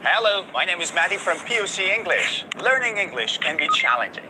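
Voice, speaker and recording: Lively male English teacher, noisy room. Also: sits high, fairly quick. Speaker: English teacher hosting interviews. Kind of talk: friendly interviewer asking questions.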